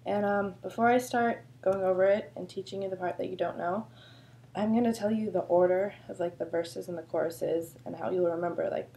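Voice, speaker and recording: A woman's voice: on the low side, steady tone, brisk, vocal fry, dark tone, a little hiss or hum. A woman choreographer with a sore throat, explaining a dance routine in a chatty, loose way full of fillers. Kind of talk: casual dance instruction video.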